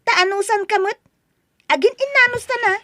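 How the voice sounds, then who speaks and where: A woman's voice: very high voice, brisk, sharp tone, no room sound, clean studio sound. A woman playing a defiant, headstrong young daughter in a melodramatic audio drama, blunt and emotional. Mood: cold, scornful.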